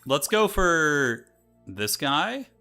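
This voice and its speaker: A man's voice: on the high side, sing-song, drawn-out words, pushed and bright. A man giving casual, slangy live commentary as he plays a strategy game, thinking aloud. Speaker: streamer playing a strategy game. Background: game music.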